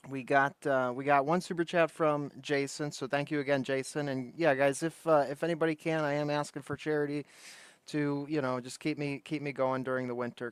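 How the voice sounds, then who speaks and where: A man's voice: on the high side, clean tone, no room sound. A man hosting a livestream, chatting casually in a slangy, rambling way. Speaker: livestream host.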